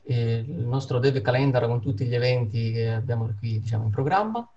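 Male host for online event intro, soft dark tone with vocal fry, informal. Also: mid-range voice, fairly quick. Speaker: meetup host and moderator. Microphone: video call. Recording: dull video-call audio.

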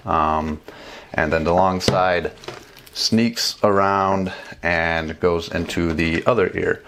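A man's voice: low-pitched, fairly even intonation, slow, noisy background. A man in his thirties casually demonstrating a gear install at his desk at home, chatty with fillers and restarts. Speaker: motorcycle travel vlogger. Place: small home room with bookshelves and desk.

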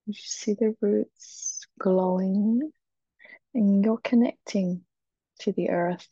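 A woman leading a guided meditation in a soft, breathy, soothing voice, with short phrases and long pauses. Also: medium pitch, steady tone, dry recording. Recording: dull, muffled, dry recording.

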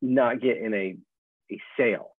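A man hosting a casual interview, speaking informally and a little haltingly over thin call audio. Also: lowish voice, breathy edge, no echo, narrow, tinny audio. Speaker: interviewer, host. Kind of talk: casual conversation.

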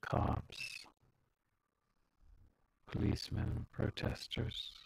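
A man leading a guided meditation as a teacher, speaking calmly in short, measured phrases with long pauses. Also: dry recording, poor audio.

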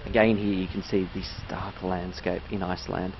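A man's voice: low-pitched, little pitch movement, moderate pace. An Australian man giving a casual, chatty, unscripted travel narration with bits of science explained informally. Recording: dull, lo-fi audio with loud background noise.

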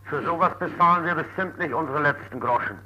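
A man's voice: on the high side, a little fast, sharp tone, highs cut off. A man calling to complain, blunt and indignant, in an old archive telephone recording. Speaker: complaining radio listener calling in. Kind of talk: phone complaint.

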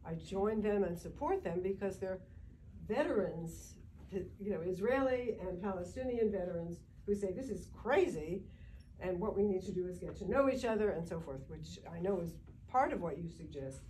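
Older lady asking a question from her seat, quiet and hesitant, mellow voice, faint room noise. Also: lowish voice, animated, normal speed, rough recording. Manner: soft-spoken, hesitant, rambling. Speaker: audience member asking a question. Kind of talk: question from the floor. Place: community meeting room. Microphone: far camera, no microphone.

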